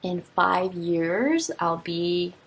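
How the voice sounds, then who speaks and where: A woman in her twenties, a teacher, talking through a stationery unboxing in a relaxed, rambling way full of fillers and restarts. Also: lowish voice, very slow, breathy edge, rough recording. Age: 20s. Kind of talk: casual unboxing vlog.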